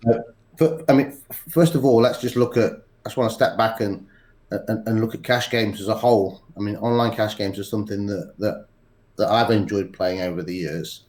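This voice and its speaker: A man with a cold, speaking in a halting way with many pauses and restarts as a podcast interview guest. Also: fairly low pitch, darkish tone. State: congested with a cold. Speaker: poker executive guest.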